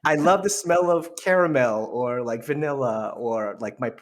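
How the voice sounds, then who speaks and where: A man's voice: medium pitch, moderate pace, background noise. A man chatting as a podcast guest, playful and self-deprecating. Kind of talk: casual chat.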